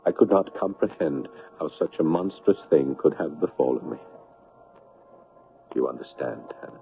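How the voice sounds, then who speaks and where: An actor playing a grief-stricken, bitter son in a 1970s radio drama, halting and anguished as he repeats himself. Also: a bass voice, lively intonation, creaky, full character voice. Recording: muffled, noisy 1970s radio broadcast.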